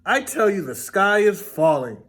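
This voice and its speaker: A man's voice: high-pitched, sing-song, measured pace, a bit bright, exaggerated voice. Man putting on an anxious, fretful storybook hen. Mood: alarmed, insistent. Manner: fretful, exclaiming, insistent. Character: anxious, worrying hen, female, insistent and alarmed. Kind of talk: children's storybook read-aloud.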